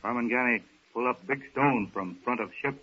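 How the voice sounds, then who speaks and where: A man's voice: medium pitch, moderate pace, bright, forceful tone, husky. Terse jungle wild man. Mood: wary, terse. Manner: terse broken English, blunt. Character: stoic jungle-raised wild man, primitive and commanding. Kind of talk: 1930s radio serial acting. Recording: dull, hissy 1930s radio recording.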